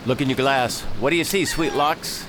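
An actor playing a commanding, calculating pirate captain, declaiming orders and speeches in a radio drama. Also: male voice, medium pitch, moderate pace, noisy background, lightly acted.

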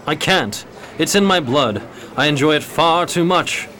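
Man acting courtly 1920s gentleman gambler, lightish voice. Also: fairly high pitch, expressive, measured pace. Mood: uneasy, confessing. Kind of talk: 1920s period radio theater acting.

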